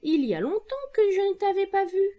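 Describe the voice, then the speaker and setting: A woman's voice: extremely high pitch, big pitch swings, a little fast, muffled recording, pitched above the performer's own voice. A woman voicing a friendly, enthusiastic male talking tree who explains things in a children's storybook tale. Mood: cheerful greeting.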